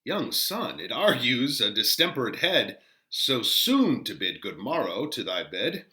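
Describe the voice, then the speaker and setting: Man playing a fatherly old friar reciting measured verse. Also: mid-range voice, very animated, pushed and bright, reverberant, heavily acted. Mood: gently probing. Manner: measured, drawn-out delivery of rhymed verse. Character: gentle, fatherly older friar, moralizing and concerned. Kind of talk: dramatic reading of Shakespearean verse.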